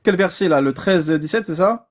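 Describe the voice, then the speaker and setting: A man's voice: high-pitched, normal speed. Sarcastic, interrupting man in a debate. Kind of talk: heated online debate.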